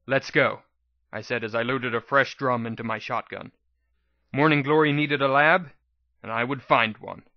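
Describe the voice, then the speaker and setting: A man's voice: mid-range voice, steady tone, moderate pace, no room sound, muffled recording. A man reading a scripted first-person story aloud as a dramatic audiobook narration. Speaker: audiobook reader.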